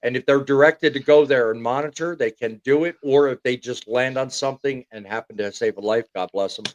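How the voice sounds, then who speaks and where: A man's voice: medium pitch, brisk, no room sound, muffled recording. Talkative male live-show host. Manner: explains technical points at length, frequent "yeah". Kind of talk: casual call-in chat.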